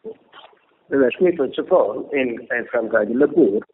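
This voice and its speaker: A man giving measured, brief business answers as a company executive. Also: mid-range voice, lively intonation. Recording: narrow phone line, faint noise.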